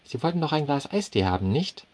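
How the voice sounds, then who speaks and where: A man's voice: on the high side, very animated, brisk, darkish tone, voice pushed up. A man voicing an impatient, flustered young waitress with a clipped, rattled way of speaking. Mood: curt.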